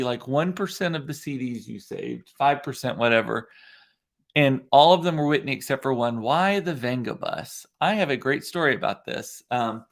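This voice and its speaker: A congested, sniffly man in his forties hosting a casual livestream from a room at home, rambling and chatty as he tells stories. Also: on the high side. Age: 40s. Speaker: livestream host. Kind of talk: casual solo livestream chat.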